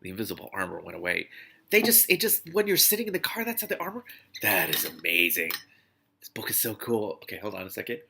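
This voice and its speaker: A man with a lowish pitch and a forceful, bright edge reads a novel aloud. He is animated and expressive, readily stepping out of the text to react with excitement, as the host of a read-along. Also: quick pace, gravelly, slight background noise.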